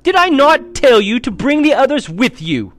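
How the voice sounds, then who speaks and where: A man's voice: very high-pitched, sing-song, normal speed, a bit bright, pitched above the performer's own voice, heavily acted. A man voicing a cruel, imperious witch queen with a harsh, commanding manner in a storybook reading. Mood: furious.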